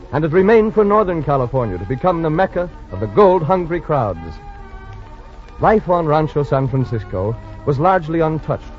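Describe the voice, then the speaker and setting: A man giving formal, scripted storytelling narration in 1940s radio style. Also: mid-range voice. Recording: muffled 1940s radio recording with music. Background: music.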